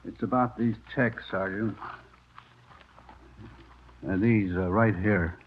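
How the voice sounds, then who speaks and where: An actor playing a polite, anxious American delicatessen owner in a 1950s radio drama, hesitant and deferential, with a husky voice. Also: male voice, on the low side, steady tone, takes it slowly, background noise. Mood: polite, worried. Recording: thin, phone-like vintage radio recording.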